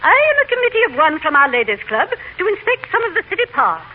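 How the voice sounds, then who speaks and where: A thin vintage radio recording of a haughty society matron. Her high, swooping voice is pompous and gushing, with easily flustered, fluttery 1940s comedy delivery. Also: sing-song, fast talker, narrow, tinny audio, a little hiss or hum. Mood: earnest, affronted.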